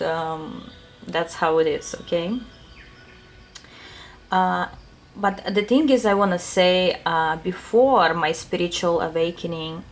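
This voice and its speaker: A woman with a Russian accent speaks softly and unhurriedly, pausing on little fillers as she chats with her viewers. Her voice sits fairly low for a woman. A faint hiss stays under her talk. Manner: soft, unhurried, thoughtful, with many fillers. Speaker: tarot reader answering viewer questions. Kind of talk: casual talk to camera.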